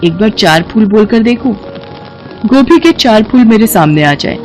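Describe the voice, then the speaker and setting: A woman voicing a caring, worried poor village mother, tender and earnest, in an animated moral story with music behind. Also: mid-range voice, moderate pace, close to an everyday voice. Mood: amazed.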